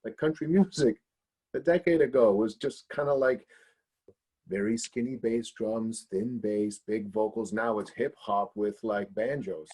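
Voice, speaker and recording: Husky man riffing with emphatic opinions. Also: on the low side, measured pace. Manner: animated, emphatic, slangy opinions. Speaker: music producer, panel guest. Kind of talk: casual opinionated panel talk. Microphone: video call.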